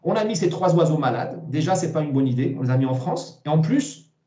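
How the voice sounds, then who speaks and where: A man, giving emphatic, opinionated interview answers with repeated words and halting starts. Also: a little high, lively intonation, dark tone, roomy sound. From Morocco. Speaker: interview guest, essayist.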